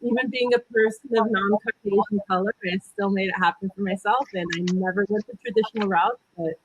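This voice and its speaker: A woman's voice: mid-range voice, fairly even intonation, a little fast, slight background noise, low-quality recording. A woman in her thirties from California with an American accent, telling her career story on a video call panel, chatty and hesitant with many fillers. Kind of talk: casual panel talk.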